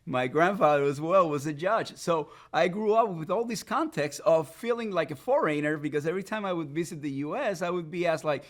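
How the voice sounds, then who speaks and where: Puerto rican guy, spanish native, kinda high voice, earnest and reflective, guest on a podcast. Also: animated. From Puerto Rico. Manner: reflective, earnest storytelling with pauses. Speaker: immigration attorney guest. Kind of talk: conversational podcast interview.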